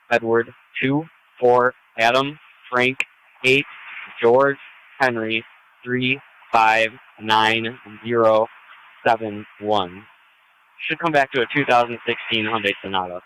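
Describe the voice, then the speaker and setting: A man's voice: mid-range voice, fairly even intonation, slow, a bit bright, a little hiss or hum, poor audio. A man radioing dispatch as a patrol officer over a two-way police radio, in short procedural phrases. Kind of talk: police radio traffic, spelling in phonetic letters. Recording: police scanner radio stream.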